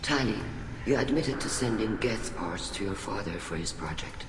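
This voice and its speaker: An actor playing a weary, fatherly older alien admiral in a video game, speaking in a measured, formal way. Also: on the low side, animated, lightly acted. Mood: earnest. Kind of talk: video game dialogue acting. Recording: echoey hall reverb, music behind.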